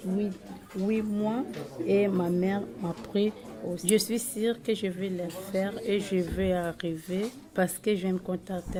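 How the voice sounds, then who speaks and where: A young woman, a student, gives a personal account in halting, stumbling phrases. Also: on the low side. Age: young adult. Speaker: high school student. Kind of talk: personal account of career choice.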